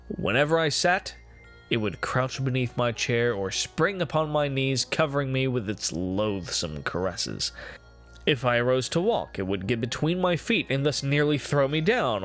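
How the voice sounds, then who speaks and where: A man performing a dramatic gothic horror reading as a guilt-ridden, morbid narrator, with formal, deliberate, theatrical phrasing. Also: mid-range voice, fairly bright tone. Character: guilt-ridden, morbid male narrator confessing his cruelty. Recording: music playing behind the voice. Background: music.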